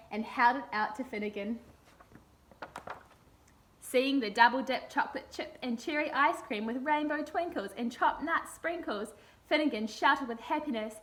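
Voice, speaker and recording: A woman's voice: high voice, a bit bright. A woman reading a children's picture book aloud expressively.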